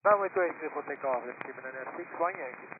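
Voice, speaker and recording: A man speaking as a pilot, reading back clearances over the radio. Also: fairly high pitch, rapid-fire. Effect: radio transmission. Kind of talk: radio readbacks to air traffic control. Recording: narrow radio channel, clear static.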